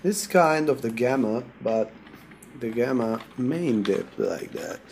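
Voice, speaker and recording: A man from Serbia with a Serbian accent, sick, talking through a software tutorial in halting non-native English while he clicks. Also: mid-range voice, animated, slight background noise. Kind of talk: screen-recorded software tutorial.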